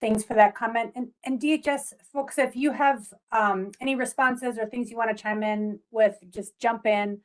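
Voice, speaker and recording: Brisk woman facilitating a meeting. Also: fairly high pitch, steady tone, poor audio. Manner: brisk, procedural. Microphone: video call.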